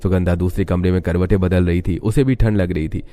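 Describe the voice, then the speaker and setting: A man's voice: deep voice, steady tone, a little fast, dark tone. A man reading scripted story narration as the narrator.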